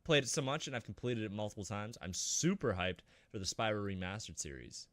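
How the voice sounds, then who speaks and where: A man's voice: fairly low pitch, expressive, a bit bright, no room sound, studio quality. A man co-hosting a gaming podcast, joking, swearing freely and reading out viewer comments. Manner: jokey and profane, quick to laugh. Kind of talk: casual gaming podcast banter.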